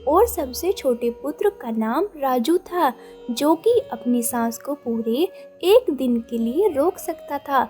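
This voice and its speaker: A woman giving scripted narration of a children's moral story in a measured storytelling manner. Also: sits high, lively intonation, dark tone, faint music behind.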